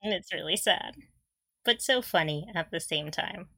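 A woman co-hosts a casual fan discussion podcast. Her voice is very bright and a little on the low side, recorded close and dry. She giggles her way through sentences. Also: moderate pace, creaky, dry recording. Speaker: podcast co-host.

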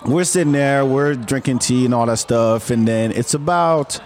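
A man's voice: mid-range voice, normal speed, a little mellow. Guy from senegal telling a spooky story, rambly, keeps repeating himself. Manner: rambling, repeats words, acts out dialogue. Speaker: guest storyteller. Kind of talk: casual spoken ghost story.

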